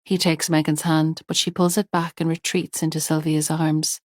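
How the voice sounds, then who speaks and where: A woman narrating a thriller audiobook in a measured, tense storytelling manner. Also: sits low, little pitch movement, no room sound, studio quality.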